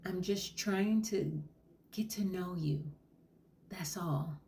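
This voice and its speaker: A woman plays a patient therapist in a comedy sketch who speaks in a slow, breathy near-whisper. Her voice is low and mellow, and she keeps her tone gentle and probing. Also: a little hiss or hum. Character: soft-spoken calm female therapist, patient and probing.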